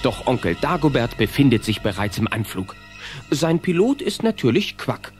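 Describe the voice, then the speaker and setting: A man's voice: mid-range voice, sing-song, moderate pace, soft background music. A man narrating a children's audio drama in a scripted storytelling style.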